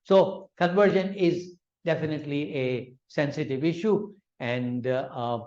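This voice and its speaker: A man's voice: fairly high pitch, unhurried, darkish tone, dull audio. A man whose first language is Urdu, lecturing on law in an explanatory way with examples and frequent fillers. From Malaysia. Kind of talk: academic lecture.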